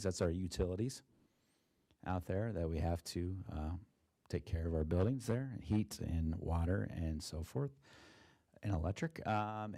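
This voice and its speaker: A man, a school district treasurer, presenting a budget at a board meeting in a monotone with many fillers and restarts, with an Ohio accent. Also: sits low, no room sound. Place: meeting room.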